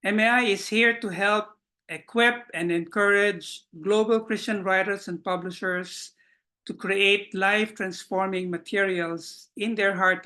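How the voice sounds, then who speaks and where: A man hosting an online webinar, welcoming and introducing in a measured, courteous, formal way over a video call. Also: sits high, pushed and bright. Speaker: webinar host.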